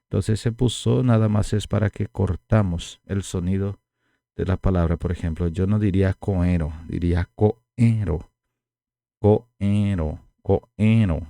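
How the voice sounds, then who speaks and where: A man teaching a language lesson, speaking slowly and repeating each word for learners to copy, with Guarani as his first language. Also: deep voice, fairly even intonation, gravelly, warm tone, no echo.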